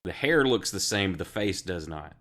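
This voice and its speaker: A man who is sick, running a tabletop game on a live stream with tired, low energy and a voice deepened by illness. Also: animated, normal speed, fairly bright tone. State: sick, subdued. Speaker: game master.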